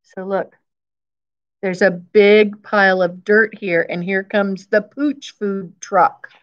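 A woman's voice: medium pitch, slow, lo-fi sound. A woman in her fifties reads a picture book aloud to young children, adding simple explanations and repeating key phrases as a teacher does. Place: indoors, school classroom.

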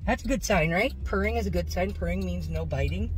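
A woman's voice: on the low side, fairly quick, a little hiss or hum. A middle-aged woman with an American accent chatting informally and folksily to viewers as she vlogs from inside a car. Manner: informal, folksy, self-narrating. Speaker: vlogger. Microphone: phone or camera on the dashboard.

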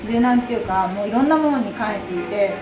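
A woman's voice: mid-range voice, expressive, fast talker. A woman in her thirties chatting casually and reflectively about art, hesitantly searching for her words and letting sentences trail off. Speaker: exhibition talk speaker. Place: small indoor cafe room. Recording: roomy sound with music behind.

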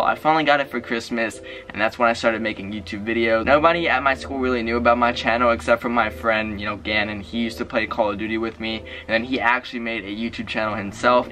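A seventeen-year-old young man from Maryland, talking casually to his webcam in his bedroom, rambling with lots of "you know" and "like", his voice a bit high for his age. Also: sharp tone. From Maryland, USA. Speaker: YouTuber. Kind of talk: casual confessional vlog. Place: bedroom with game shelves, indoors. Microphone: webcam, close facing camera.

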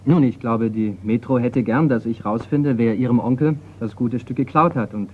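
An actor playing a cheeky, unflustered young insurance detective in a German radio crime drama. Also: male voice, mid-range voice, normal speed, slightly rough, close to an everyday voice. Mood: dry, relaxed. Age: young adult. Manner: dry, unflustered. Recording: tinny, narrow-band old radio recording, noisy.

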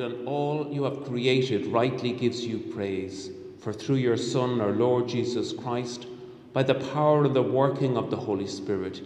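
A man in his fifties, a bishop reciting the prayers of Mass in a measured, solemn, formal way. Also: mid-range voice, darkish tone. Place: large hospital chapel, indoors. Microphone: microphone on altar stand. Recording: echoey chapel with long reverb.

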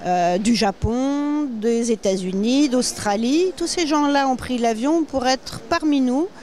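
A woman's voice: high voice, a little slow, a little mellow, slight background noise. A middle-aged woman, the show's organizer, giving a welcome address to the camera in an exhibition hall, with some hesitations. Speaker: show organizer. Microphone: handheld mic.

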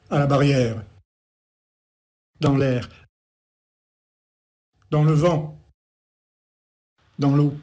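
A man reciting poetry as an incantatory litany, in short repeated phrases set apart by pauses. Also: mid-range voice, warm tone. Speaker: poet.

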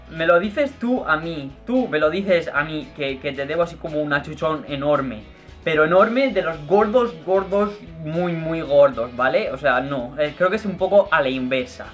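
A man's voice: fairly high pitch, a bit bright. A young Spanish man with a light Murcian accent, chatting playfully while he answers viewer questions in his bedroom. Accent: Murcian, mostly held back on camera. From Murcia, Spain. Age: young adult. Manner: chatty, playful, gestures a lot, laughs easily. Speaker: YouTuber. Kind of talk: casual Q&A vlog. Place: bedroom, indoors.

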